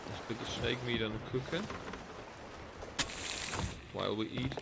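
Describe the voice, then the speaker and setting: A man's voice: medium pitch, fairly even intonation, measured pace, vocal fry, heavy background noise. A man giving casual gameplay commentary, talking to himself in short phrases. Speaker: gamer playing and commentating. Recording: loud game music behind voice. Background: loud music from a sailing video game.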